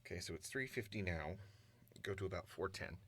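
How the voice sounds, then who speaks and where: A man's voice: low-pitched, expressive, a little fast, fairly bright tone. A man chatting casually and thinking aloud as an amateur voice actor in a recording booth.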